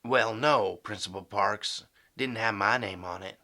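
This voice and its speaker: A man playing an earnest, polite high school football player in an audio drama, sincere and mild. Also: a little slow, pushed and bright.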